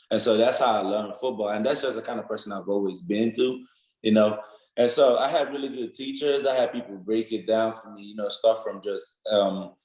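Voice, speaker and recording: A man's voice: fairly low pitch, a little mellow, echoey room, low-quality recording. A young man with a Nigerian accent, answering interview questions in an earnest, rambling way, often adding "you know what I'm saying". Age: young adult. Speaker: football player guest.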